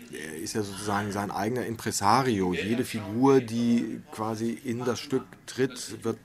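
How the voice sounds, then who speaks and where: A man giving spontaneous commentary in an interview. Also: fairly low pitch.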